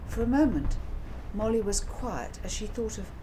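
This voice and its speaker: A woman reading a children's novel aloud in a measured, expressive storytelling style. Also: medium pitch. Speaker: author reading her own children's novel. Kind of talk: audiobook storytelling narration. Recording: clear background noise.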